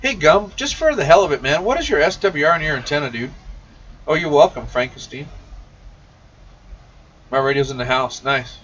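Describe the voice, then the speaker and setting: A man's voice: fairly high pitch, animated, normal speed, long pauses, fairly bright tone, slightly rough. A man hosting a casual shop livestream, chatting in a folksy, slangy way as he answers viewers' questions. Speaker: host of a CB radio shop live stream. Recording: muffled, noisy, distant-mic livestream audio.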